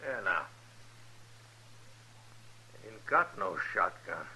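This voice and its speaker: A man's voice: sits low, big pitch swings, drawn-out words, sharp tone, slight background noise, full character voice, poor audio. A man playing a defiant but frightened outlaw gunman in a 1950s radio western, with a rural Western drawl and bristling manner. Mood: angry bravado. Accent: American rural Western. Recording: vintage 1950s radio recording.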